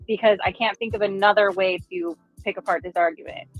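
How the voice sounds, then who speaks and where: A woman's voice: mid-range voice, fairly even intonation, dull audio, soft background music. A woman in a played clip, sarcastically firing off one question after another. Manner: sarcastic, rapid-fire questioning. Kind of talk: casual chat on a clip.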